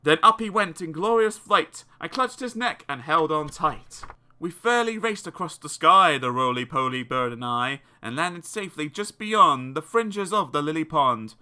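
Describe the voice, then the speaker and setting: Man for children's verse audiobook: expressive, theatrical, fairly high-pitched, strikingly bright and forceful. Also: moderate pace. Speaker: audiobook reader. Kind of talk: dramatic children's verse narration.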